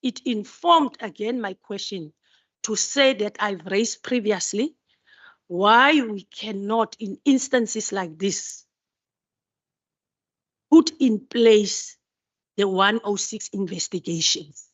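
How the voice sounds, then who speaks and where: A woman's voice: mid-range voice, slow pace, pauses often, no room sound, dull audio. A woman committee member asking questions at a hearing, repeating and stumbling over words.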